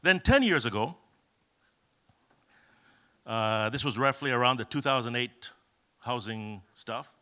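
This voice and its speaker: A man's voice: mid-range voice, lively intonation, a little slow, pauses often, pushed and bright. A middle-aged man from Kenya giving a conference talk, telling humorous, self-deprecating stories with many fillers. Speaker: keynote speaker. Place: event hall.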